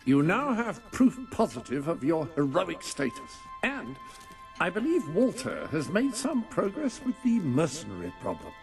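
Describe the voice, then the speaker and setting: Very animated, proper manservant. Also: male voice, a little high, a little slow, creaky, music in the background, exaggerated voice. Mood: pleased, respectful. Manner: formal, polite, precise. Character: deferential, proper male servant of a hero. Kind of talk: dramatic video game cutscene acting.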